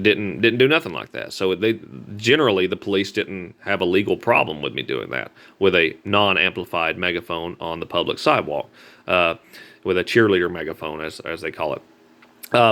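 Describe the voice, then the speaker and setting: Male, Southern US accent, deep but hard-edged voice; earnest, folksy, halting vlog delivery. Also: a bit bright. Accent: Southern American. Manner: halting, repetitive, earnest telling with folksy grammar. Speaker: street preacher. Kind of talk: informal personal vlog talk.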